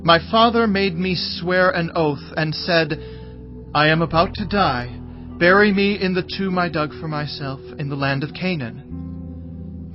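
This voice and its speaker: A man acts out an ancient Hebrew statesman in a scripture drama, speaking very slowly and solemnly. His earnest, forgiving manner sits over music in slightly dull audio. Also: fairly high pitch, natural voice. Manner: measured, earnest, solemn delivery.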